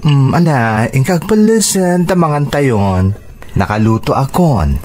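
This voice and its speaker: A man's voice: medium pitch, lively intonation, a little slow, a little mellow, slight background noise, lightly acted. A man playing a caring, hardworking uncle in an Ilocano radio drama, speaking expressively with an Ilocano accent. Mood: warm, reassuring. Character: caring, hardworking uncle supporting his niece's schooling.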